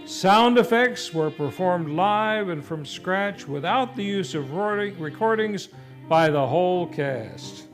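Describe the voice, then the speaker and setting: A male series host delivers a scripted vintage radio sign-off from a theater stage. His voice sits high, with formal, showy phrasing over clearly audible music. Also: animated, a little slow. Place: theater stage, live broadcast. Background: live music.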